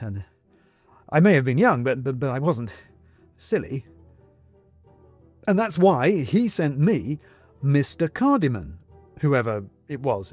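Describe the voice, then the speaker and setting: A man playing a flustered, self-justifying middle-class man in a storybook, stammering and haltingly repeating his words. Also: mid-range voice, dry recording.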